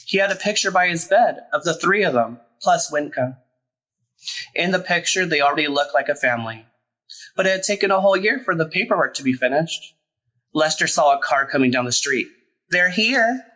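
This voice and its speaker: A man reading a children's picture book aloud as a storyteller, in an expressive, deliberate way with emphasized words. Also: on the high side, low-quality recording. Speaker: storyteller, drag performer.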